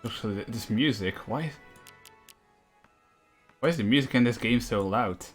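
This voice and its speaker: A man's voice: medium pitch, normal speed, soft background music. A man chatting casually as he livestreams a game, with a dry, wry manner. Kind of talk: casual chat while gaming. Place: room in a shared house. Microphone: voice chat on push to talk. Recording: clear background noise, clicks.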